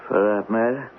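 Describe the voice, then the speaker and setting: An actor playing a drunken, boastful, defiant captain with slurred, halting speech in an old-time radio drama. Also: male voice, on the low side. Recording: noisy, phone-like old radio recording.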